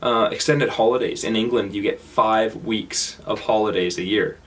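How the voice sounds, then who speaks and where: Young Canadian man, Canadian accent, lowish voice, loose stage talk full of ums and restarts. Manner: casual, halting, many fillers and restarts. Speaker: speaker. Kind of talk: public talk.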